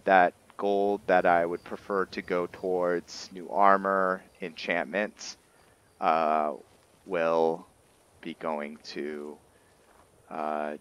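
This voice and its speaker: Low-voiced, slow-paced man narrating gameplay. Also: a bit bright, smooth. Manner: musing, thinking aloud. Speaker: gaming video host and player. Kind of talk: casual gameplay roleplay commentary. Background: game music.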